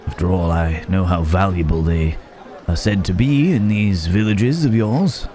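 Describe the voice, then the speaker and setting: A man's voice: sits low, measured pace, dark tone. A man playing a courteous, wary city-bred werewolf in an ASMR roleplay, hesitant and musing with old-fashioned wordy phrasing. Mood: cautiously hopeful. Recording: market crowd and outdoor ambience noise. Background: bustling village market ambience.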